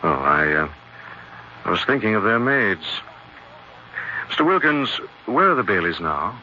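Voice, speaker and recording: An actor playing a suave gentleman detective with an American accent and a polished, insinuating delivery in a 1940s radio drama. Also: on the low side, big pitch swings, unhurried, heavily acted. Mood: pointed, suave. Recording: dull, hissy 1940s radio recording.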